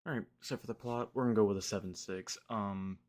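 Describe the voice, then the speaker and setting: A young man, slightly hoarse, rambling through a casual movie review with lots of fillers and restarts. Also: on the low side, fairly even intonation, brisk, darkish tone.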